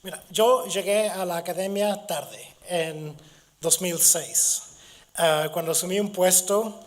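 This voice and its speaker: A middle-aged man from Gibraltar, a native English speaker, giving a university lecture while hoarse from a cold, halting with restarts and fillers. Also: high-pitched. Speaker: guest lecturer.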